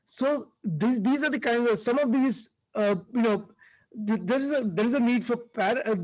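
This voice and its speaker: A man hosting a podcast, reading an article aloud and commenting on it in a halting way, with many fillers and restarts. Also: very high-pitched, a little mellow, poor audio. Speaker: podcast host.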